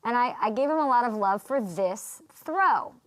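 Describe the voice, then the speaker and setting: A woman's voice: fairly high pitch, expressive, moderate pace, a bit bright, no echo, low-quality recording. A woman hosting a casual sports talk show, chatty and teasing as she interviews a guest. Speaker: host.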